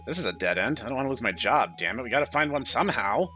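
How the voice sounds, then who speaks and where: Bright, animated man reading game dialogue. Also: medium pitch, rapid-fire, soft background music. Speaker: gaming channel host. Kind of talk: reading visual novel dialogue aloud, playthrough commentary.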